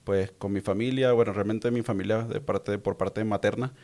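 Young male guest, Venezuelan accent, lowish creaky voice, casual, halting testimony delivery. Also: fairly even intonation, darkish tone. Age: young adult. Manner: hesitant, meandering, repeats words. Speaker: interview guest.

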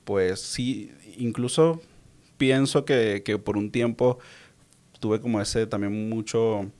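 A man's voice: on the low side, takes it slowly, a little mellow. A young man with a Venezuelan accent giving casual personal testimony as a guest, meandering and often repeating words as he searches for them. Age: young adult. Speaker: interview guest.

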